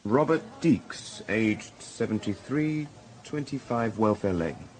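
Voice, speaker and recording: A man's voice: fairly low pitch, expressive, takes it slowly, a little mellow, slight background noise. A man acting a candid hospital doctor in a radio drama, British and conversationally matter-of-fact. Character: brisk, candid male hospital casualty doctor.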